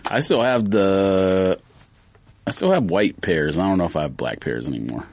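Guy co-host just chatting, kinda raspy, pitch jumps around a lot, offhand little comments. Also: lively intonation, normal speed, a little mellow, no room sound. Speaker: podcast co-host. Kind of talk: casual chat.

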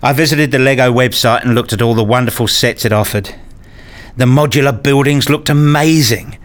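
A man's voice: medium pitch, moderate pace, natural voice. A man playing a frustrated, pleading father who tells his own life in a radio play, wordy and earnest. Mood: dejected. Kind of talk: first-person radio play narration and dialogue.

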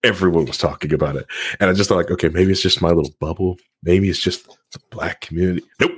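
A man's voice: deep voice, steady tone, quick pace, slightly rough, no room sound. A man hosting a casual podcast, talking in a rambling, jokey way. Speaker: podcast host.

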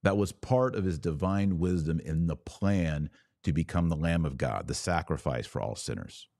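A man hosting a podcast of religious commentary, speaking in an emphatic, didactic way with deliberate phrasing. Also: extremely low pitch, vocal fry, darkish tone, no echo, studio quality. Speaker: podcast host.

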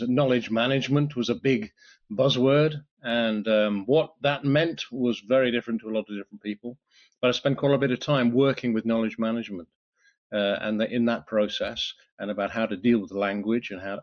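A man with a British accent, a guest in a podcast interview, speaking thoughtfully with frequent restarts. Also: medium pitch. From United Kingdom. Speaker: guest, solutions architect. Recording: slightly dull lo-fi audio.

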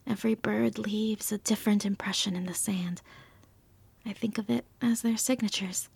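A woman playing an earnest, wonderstruck field naturalist, giving a measured, lyrical report in an audio drama with a soft, breathy voice. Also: mid-range voice, fairly even intonation, no room sound. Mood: wistful wonder. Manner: thoughtful, lyrical, unhurried.

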